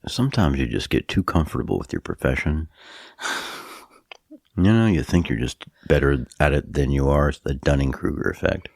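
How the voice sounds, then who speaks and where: A sniffly man co-hosting a podcast, cracking dry, deadpan jokes. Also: rumbling low, moderate pace, gravelly, no echo. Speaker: podcast co-host. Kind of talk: casual podcast banter.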